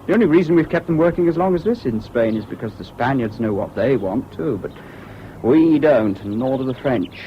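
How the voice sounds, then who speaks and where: A man's voice: mid-range voice, animated, fairly quick, close to an everyday voice. Guy playing disillusioned young war volunteer, intense, clipped, old muffled radio drama w bit of hiss. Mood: bitter, grim. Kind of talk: 1970s British radio drama acting. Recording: dull, hissy old radio recording.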